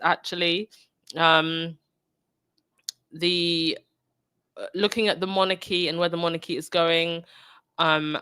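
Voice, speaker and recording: A woman's voice: on the low side, fairly bright tone, no room sound, studio quality. A woman with a cold, hosting a live podcast and chatting casually while reading out viewer comments. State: congested with a cold. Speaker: podcast host.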